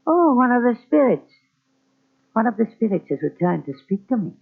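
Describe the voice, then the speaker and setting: On a tinny old radio recording, a murderous, unhinged old motorman. His gravelly, husky voice swoops about as he rambles and pleads eerily, in the heightened style of 1940s radio thrillers. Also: very high voice, big pitch swings, moderate pace, heavily acted. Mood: eerie, unhinged. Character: deranged, vengeful old subway motorman, a murderer.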